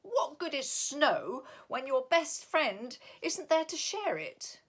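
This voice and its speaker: A plaintive little girl fox from a picture book, put on by a woman. She is clingy, sulky and tender-hearted, squealing in a raised, swooping pitch. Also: big pitch swings, slow, full character voice. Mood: hopeful, wistful.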